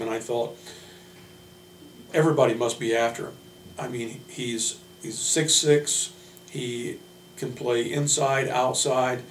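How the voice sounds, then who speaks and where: A man speaking as a basketball coach, giving informal player introductions in a measured, hesitant way with frequent pauses. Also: medium pitch. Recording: roomy, distant mic, faint hum.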